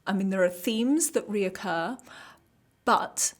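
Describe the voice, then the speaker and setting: A British woman chairing a judging panel, giving measured, thoughtful interview answers that often restart mid-sentence as she builds a point. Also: medium pitch. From Britain.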